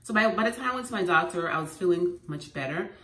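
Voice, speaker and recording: Congested woman, low voice, echoey room. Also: quick pace. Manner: animated and emphatic, repeating phrases. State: congested from a cold. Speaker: lifestyle vlogger. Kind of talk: casual home vlog tips. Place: at home indoors. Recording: echoey room, faint noise.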